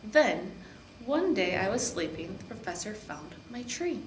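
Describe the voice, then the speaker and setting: Woman, children's story narration, expressive, medium pitch, roomy echo, faint background noise. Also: unhurried. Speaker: park district program supervisor reading to children. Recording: echoey room with background noise.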